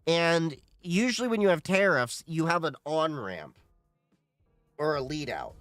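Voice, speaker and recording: A man's voice: on the high side, sing-song, a little slow, a bit bright, no room sound, soft background music. A man hosting a casual livestream, reading chat questions aloud and giving opinionated answers.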